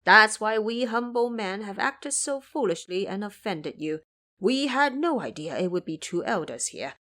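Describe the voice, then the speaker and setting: A woman's voice: medium pitch, lively intonation, normal speed, fairly bright tone, natural voice. Woman voicing a courteous, solemn old male farmer.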